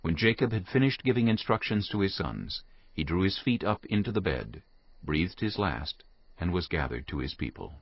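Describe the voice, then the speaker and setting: A man narrating scripture from a script in a measured, solemn manner. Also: low voice, expressive, slightly rough, no room sound. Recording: dull lo-fi audio.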